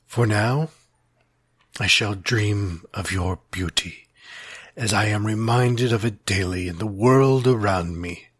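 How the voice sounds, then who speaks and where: A man acting an earnest, lovelorn gentleman of old New York, reading his formal letter in a solemn, wistful, measured way with a husky voice. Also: fairly low pitch, no room sound, full character voice. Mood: tender, solemn.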